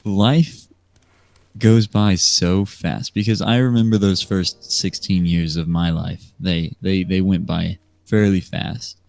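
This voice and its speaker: A man of nineteen with an American accent, chatting and telling stories as a podcast guest in a hesitant, unhurried way. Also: deep voice, steady tone, vocal fry, dry recording. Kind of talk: casual storytelling chat. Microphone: remote voice call microphone. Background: soft music.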